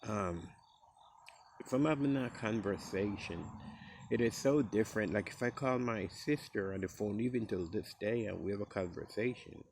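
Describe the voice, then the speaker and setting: A man from the Caribbean coast of Costa Rica with a Costa Rican Caribbean English accent, telling stories casually in a relaxed way and acting out quoted speech in a higher voice. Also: normal speed, gravelly, background noise. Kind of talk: casual storytelling.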